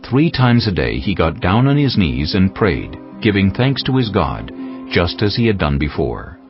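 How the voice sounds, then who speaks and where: A man's voice: low voice, very animated, unhurried. A man narrating scripture in a scripted, dramatic storytelling style. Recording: muffled sound with faint music underneath.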